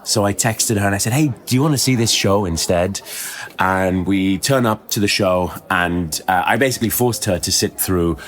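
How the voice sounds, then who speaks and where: A man telling a personal story as a podcast guest, conversational, with a hesitant, halting delivery. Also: low-pitched. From born in Kuwait, moved around the world. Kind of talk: conversational anecdote.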